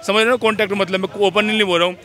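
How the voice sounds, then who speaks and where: A man's voice: high-pitched, fairly even intonation, very fast, fairly bright tone, some chatter behind. A man giving his opinions in a street interview, preachy and constantly checking in with short rhetorical questions.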